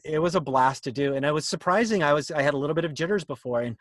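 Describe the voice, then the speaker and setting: A man's voice: a little high, very fast, dry recording, clean studio sound. A middle-aged man presenting informally on a video call in an encouraging, conversational way. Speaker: workshop presenter. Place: indoor room on a video call. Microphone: computer mic on a video call.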